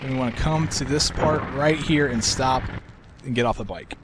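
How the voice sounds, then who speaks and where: A man giving casual, relaxed commentary over a video game walkthrough, with a soft, soothing way of talking. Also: medium pitch, normal speed, noisy background. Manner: relaxed, soft-spoken, self-described soothing voice.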